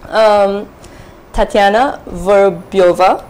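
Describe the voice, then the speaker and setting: A woman's voice: medium pitch, fairly even intonation, takes it slowly, a little mellow. A woman speaking as the moderator of a live-streamed student panel discussion. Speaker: moderator, MBA student and podcaster. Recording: roomy echo, faint background noise.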